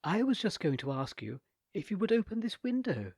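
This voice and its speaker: A man's voice: high-pitched, big pitch swings, fairly quick, a little mellow, breathy edge, no echo, clean studio sound, voice pushed up, exaggerated voice. A man reading a story aloud, voicing a composed woman on a train who speaks in a cool, dryly amused way.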